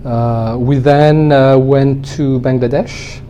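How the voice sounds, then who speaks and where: A man with a French accent, a French native speaker, telling his life story in a relaxed interview, hesitating often and laughing easily. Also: mid-range voice, takes it slowly, slight background noise. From born in El Salvador, raised abroad. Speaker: winemaker guest.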